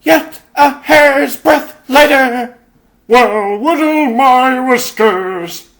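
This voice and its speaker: A man voicing a bold, excitable rabbit superhero in a theatrical, grandiose comic dub. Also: very high voice, extremely slow, heavily acted. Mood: grandiose, amazed.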